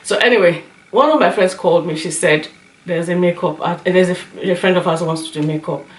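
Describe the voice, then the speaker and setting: A woman with a slightly hoarse voice, chatting casually as a vlogger giving her opinions and telling anecdotes. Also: lowish voice, brisk, slight background noise. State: slightly hoarse, recovering from a cough. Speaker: YouTube lifestyle and beauty vlogger. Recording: roomy echo, light music underneath.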